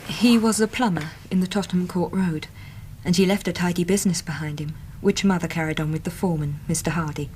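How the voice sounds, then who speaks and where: An actress plays a young Victorian working woman in an English radio drama. Her voice sits fairly low, and she talks in an earnest, chatty, plain-spoken way. Faint noise lies behind her. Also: fast, a little mellow, natural voice. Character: earnest, talkative young female Victorian typist seeking help.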